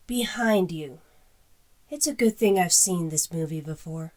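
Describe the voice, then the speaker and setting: A woman voicing a stitched-together construct girl who answers in a dry, deadpan way. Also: fairly low pitch, a little slow, faint music behind.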